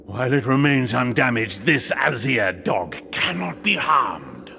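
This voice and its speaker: A man's voice: mid-range voice, very animated, a little slow, creaky, over loud music. A man voicing a vengeful undead knight spirit in a game, arrogant, menacing and grandiose in delivery. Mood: cold menace. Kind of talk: video game cutscene acting.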